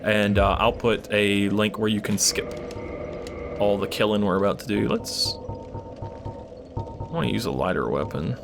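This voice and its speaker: A man's voice: on the low side, a little slow, creaky, long pauses, music in the background. A man casually commenting while he plays a video game, rambling and swearing, losing his voice and coughing. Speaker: gaming channel host. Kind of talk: casual let's play commentary.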